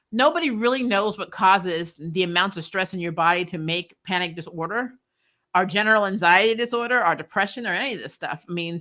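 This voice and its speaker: Woman, bright forceful voice, medium pitch, animated casual fan commentary, blunt, joking. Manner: animated, blunt, sweary, jokey. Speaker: show host reviewing superhero TV and reading live comments. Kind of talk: casual livestream chat, rambling fan commentary.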